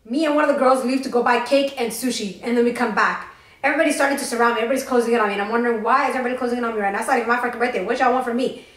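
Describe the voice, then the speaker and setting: A young woman co-hosting a podcast, telling a story fast and with lots of swearing while doing her makeup on a couch. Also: a little high, roomy sound. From Tennessee. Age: young adult. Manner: fast, profane, animated storytelling. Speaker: podcast co-host. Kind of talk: casual storytime chat. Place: living room, on a green couch.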